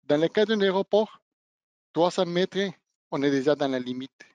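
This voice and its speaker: A man who is not a native speaker of the language, giving a webinar demonstration of training equipment and pausing often to search for words. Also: a little high, expressive, a little mellow, no room sound, muffled recording. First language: not French. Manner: halting, searching for words, non-native phrasing. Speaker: product trainer.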